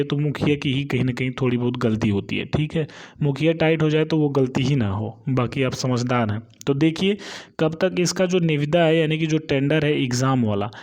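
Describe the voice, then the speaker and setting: A man speaks in a slightly high voice. He talks informally as a channel host, explaining exam updates point by point and checking in with listeners at the ends of phrases. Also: a little mellow.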